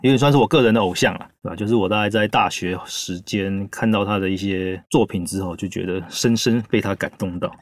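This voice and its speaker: A man's voice: on the low side, fast, hardly any pauses, dry recording. A man with a Taiwanese accent hosting a podcast, explaining things casually and chattily with little asides. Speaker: podcast host.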